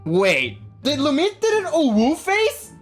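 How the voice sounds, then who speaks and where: Woman doing lets play commentary, excitable and slangy, swoopy pitch, game music behind. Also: a little slow. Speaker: gaming video host. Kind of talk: casual playthrough commentary.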